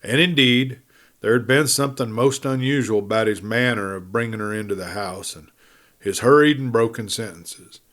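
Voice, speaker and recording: A man narrating a western novel as an audiobook, in a measured, deliberate storytelling style with dramatic pauses. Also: mid-range voice, fairly bright tone.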